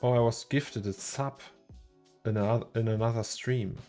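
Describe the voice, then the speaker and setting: A man's voice: medium pitch, fairly even intonation, normal speed, warm tone, faint music behind. A man with a German accent streaming his 3D work, chatting casually, thinking aloud and chuckling often. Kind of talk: casual livestream chat while working.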